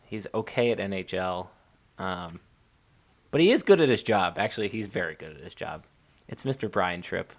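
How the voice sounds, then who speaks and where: A man co-hosting a sports podcast, chatting informally and haltingly, often repeating and restarting his phrases. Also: low-pitched, lively intonation. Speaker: podcast co-host. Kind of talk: casual sports podcast chat.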